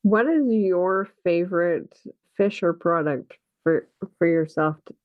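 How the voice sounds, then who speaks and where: A woman's voice: fairly low pitch, measured pace, no echo. A woman hosting a podcast, interviewing in a casual, conversational way. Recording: dull, dry lo-fi audio.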